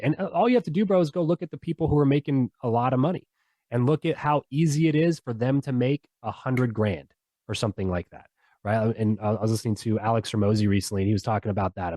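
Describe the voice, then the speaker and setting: A man guesting on a podcast, pitching his ideas in a loose, persuasive way. His voice is mellow and warm, recorded dry and close. He talks with a lot of energy and slang. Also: dry recording. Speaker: podcast guest, software founder. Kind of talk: casual interview talk.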